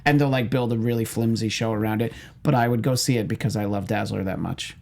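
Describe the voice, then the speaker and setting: A man's voice: lowish voice, fast, gravelly, darkish tone. A man co-hosting a casual panel discussion, wordy, with mock-formal asides mixed into loose talk. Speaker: panelist and co-host.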